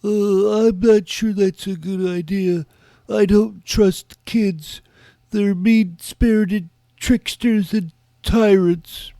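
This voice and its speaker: Slow, creaky, wary eccentric. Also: male voice, high voice, fairly even intonation, dark tone, dry recording. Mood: wary, grudging. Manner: hesitant, grudging. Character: wary, eccentric man who distrusts kids, Bigfoot-language expert. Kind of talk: scripted audio drama dialogue.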